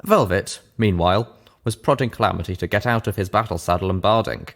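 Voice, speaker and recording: A man's voice: lowish voice, fairly quick. A man reading a scripted story aloud as its narrator. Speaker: audiobook reader, narrator.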